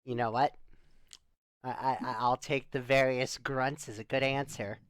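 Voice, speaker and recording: A man playing a skeptical, dry-humored spaceship crewmate in improvised, conversational sci-fi roleplay, full of deadpan questions. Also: medium pitch, unhurried, smooth, no room sound, close to an everyday voice. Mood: dry amusement.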